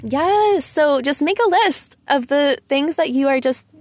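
A young woman with a sweet, slightly high voice talks straight to her listeners in a loose, venting monologue. She is chatty and emphatic, leaning on "like" and "you know". The recording is close and dry, with little room sound. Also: expressive, a little slow, no echo. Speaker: vlogger.

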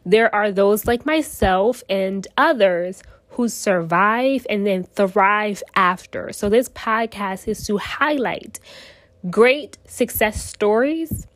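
A woman's voice: mid-range voice, dry recording. A young woman hosting a solo podcast, sounding nasal from a cold and speaking earnestly in short phrases into her phone. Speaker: podcast host.

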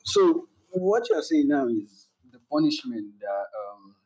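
A young man, chatting casually and telling stories in a relaxed way, laughing along. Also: fairly high pitch, takes it slowly, recorded from a distance, low-quality recording. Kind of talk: casual chat.

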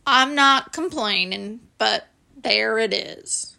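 A woman voicing a gloomy old gray male donkey who talks slowly and mournfully. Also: a little high, creaky, sharp tone, heavily acted. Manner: slow, mournful, sighing.

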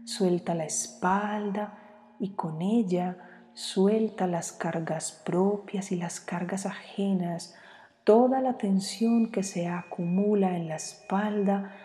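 A woman leads a guided meditation in a calm, soothing manner, leaving long pauses between short phrases. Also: mid-range voice. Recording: long reverb, music behind. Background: music.

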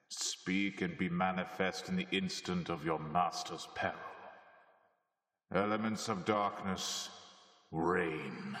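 An actor playing an ancient, menacing vampire count in a radio drama, chanting slow incantations and dropping into hushed whispers. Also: male voice, sits low, gravelly, heavily acted. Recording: echoey reverb with steady background noise.

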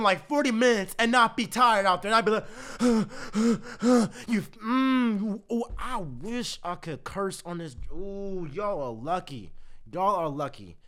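A man's voice: high voice, a bit bright. A man ranting heatedly at his team as a fan, repeating himself, his voice strained from cheering. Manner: heated, repetitive, exasperated ranting. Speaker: sports fan. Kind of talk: ranting commentary to camera.

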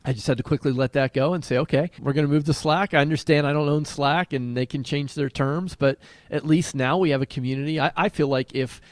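A man's voice: on the high side, steady tone, fairly quick, hardly any pauses, no room sound. A man co-hosting a writing podcast, talking casually and conversationally. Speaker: podcast co-host and writer.

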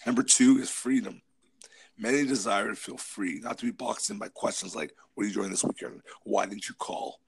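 A man co-hosting a casual podcast discussion, hoarse from a cold, talking through list points with whispery asides. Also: on the low side, moderate pace, a little hiss or hum. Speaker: podcast co-host.

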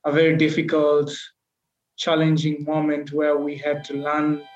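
A man, a podcast guest telling his life story in an earnest, reflective, measured way. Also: on the high side, monotone, over music. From Kenya. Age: 20s. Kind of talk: personal storytelling in an interview. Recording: echoey, dull lo-fi recording.

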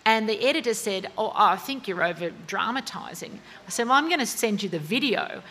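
An Australian woman speaks about her writing at a mid pitch, in a loose and chatty way. She stops often for fillers and corrects herself mid-sentence. A faint hiss of noise runs under the recording. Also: expressive, fairly quick, fairly bright tone. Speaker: author. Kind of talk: interview at a book talk.

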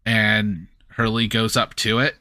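A man's voice: fairly low pitch, level tone, unhurried, sharp tone, no echo. A man narrating as game master for a tabletop game, in a casual, rambling way full of fillers.

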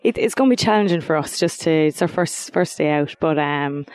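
A woman's voice: deep voice, a little fast, darkish tone. A woman footballer answering interview questions hesitantly and modestly, with many restarts.

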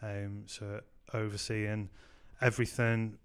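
A man's voice: lowish voice, slow, clean tone. A man with a Scouse accent from Liverpool, a youth pastor telling stories in a casual podcast chat with many ums. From Liverpool, England. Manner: chatty, frequent "um", animated anecdotes. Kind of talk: casual podcast interview storytelling.

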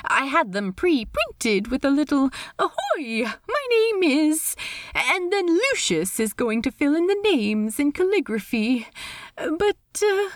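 A woman voicing a polite, fussy, hesitant gentleman pirate in a storybook reading, with mannered, rambling politeness. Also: sits high, no room sound, clean studio sound, voice pushed up, full character voice. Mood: polite, awkward. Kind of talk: character voice in a fan fiction reading.

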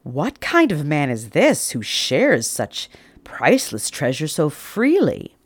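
A woman's voice: low-pitched, wildly swooping pitch, takes it slowly, husky, dry recording, full character voice. A woman voicing a stern but kind king in a storybook reading. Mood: commanding.

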